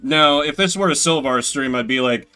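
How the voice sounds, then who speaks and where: A man's voice: on the high side, moderate pace, bright, forceful tone, smooth, faint music behind. A man doing solo video game commentary, reading game text aloud dramatically and adding sardonic, rambling asides. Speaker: game streamer.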